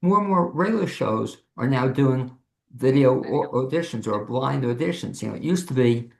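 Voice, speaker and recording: A man with a very creaky, gravelly voice hosts an interview in a conversational way. His pitch is average for a man, and the room echoes around him over a video call. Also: lively intonation, moderate pace. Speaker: interview show host. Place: home office studio, on a video call.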